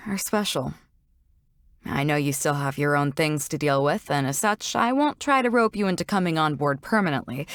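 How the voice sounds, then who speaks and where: A woman's voice: mid-range voice, dry recording, clean studio sound, lightly acted. A woman playing a bold, big-hearted ship captain for a video game, with a confident, frank and laid-back manner. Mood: warm, sincere. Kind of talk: video game character voice lines.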